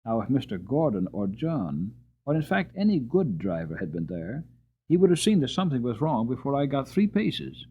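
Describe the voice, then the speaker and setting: Male audiobook reader, dramatic and animated, tinny lo-fi audio. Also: mid-range voice, slight background noise. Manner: expressive, drawn-out emphasis. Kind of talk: dramatic storybook reading.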